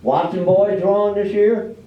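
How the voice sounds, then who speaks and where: A brisk small-town official , played by a man in amateur readers theater, folksy and in charge. His voice sits on the high side for a man. It is caught from across a roomy space, slightly muffled. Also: flat delivery, a little hiss or hum, lightly acted. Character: brisk folksy village official running a lottery drawing. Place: live performance in a library room. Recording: roomy, distant, dull live library recording.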